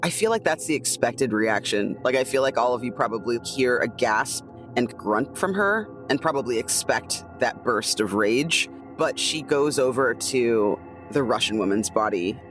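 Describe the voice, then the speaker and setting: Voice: mid-range voice, animated, normal speed, fairly bright tone, faint music behind. A tabletop roleplaying player chatting casually and telling their character's story expressively. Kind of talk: casual chat and in-character narration.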